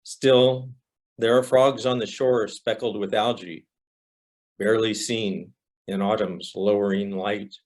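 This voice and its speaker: Older male poetry reader, fairly deep, unhurried, deliberate and reflective delivery. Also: fairly even intonation, a little mellow. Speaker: poet reading own poem. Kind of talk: poetry recitation.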